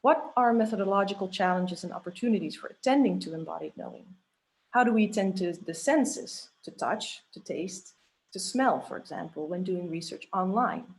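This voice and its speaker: A woman moderating an online academic webinar, reading a prepared introduction in a measured way. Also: medium pitch, lively intonation. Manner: measured, listing questions. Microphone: video call.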